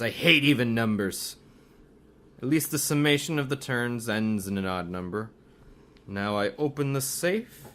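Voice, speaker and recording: A man's voice: fairly low pitch, fairly bright tone. A man playing a domineering criminal doctor, theatrical and menacing, in a radio mystery. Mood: gloating.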